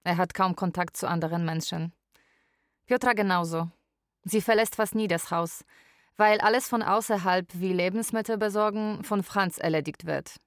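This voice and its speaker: An actress playing a lonely, wry Polish live-in carer, narrating a radio drama in a deliberate way. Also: female voice, fairly low pitch, no echo, clean studio sound, close to an everyday voice. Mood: matter-of-fact.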